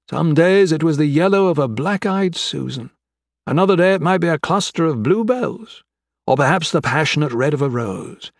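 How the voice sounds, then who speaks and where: Guy doing a creepy polite old villain for an audiobook, taunting and flowery, husky, dry close sound. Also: fairly high pitch, no echo, voice pushed up. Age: older. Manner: florid, mock-courteous, taunting. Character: menacing, theatrical old gentleman crime boss, mocking and sentimental. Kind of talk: dramatic audiobook character acting.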